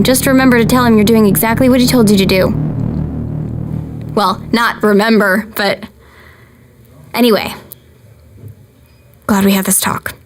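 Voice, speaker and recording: A woman acting an anxious, self-critical surveillance analyst in an audio drama. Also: a little high. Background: music.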